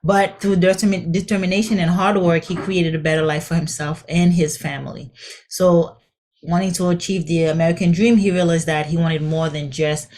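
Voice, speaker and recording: A woman's voice: fairly low pitch, steady tone, moderate pace, darkish tone. A woman from Haiti hosting a podcast interview, upbeat and encouraging. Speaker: host.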